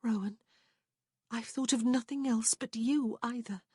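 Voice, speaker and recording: A woman's voice: on the high side, steady tone, a little slow, warm tone, breathy edge, dry recording, clean studio sound, voice pushed up. A woman voicing an anxious young lady in love who speaks tenderly and breathily.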